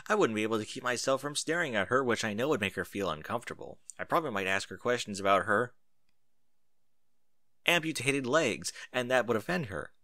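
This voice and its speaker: A man's voice: mid-range voice, lively intonation, brisk, sharp tone, no room sound. A man voicing a brash young female pegasus pony, speaking bluntly and casually in a story reading. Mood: flustered.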